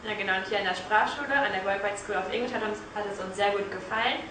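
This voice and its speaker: A young woman speaks eagerly and cheerfully about her stay abroad, her voice bright with enthusiasm. She is recorded from a distance in a big indoor lobby, which adds a roomy echo and a faint background hiss. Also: medium pitch, quick pace. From northern Germany. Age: young adult. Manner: cheerful, eager, laughs often. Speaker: language school student. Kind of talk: casual testimonial chat. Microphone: far camera. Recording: echoey room, distant mic, faint hiss.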